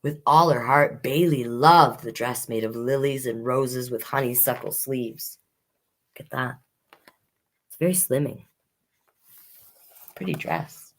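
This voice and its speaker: Slow, low-voiced woman reading children's stories. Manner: expressive, unhurried, comments on the story as she reads. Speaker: storytime host reading picture books aloud. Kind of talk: children's storytelling with chatty asides.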